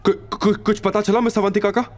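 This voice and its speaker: A man's voice: high-pitched, little pitch movement, normal speed, darkish tone, slight background noise, voice pushed up, lightly acted. A man voicing a flustered, deferential male assistant in an audio drama, stammering and panicky. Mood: panicked. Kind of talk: audio drama character acting.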